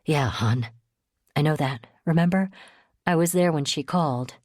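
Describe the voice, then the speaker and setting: A woman reading an audiobook, voicing a calm, protective young man who talks in a soothing, low-key way. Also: moderate pace, no echo, clean studio sound.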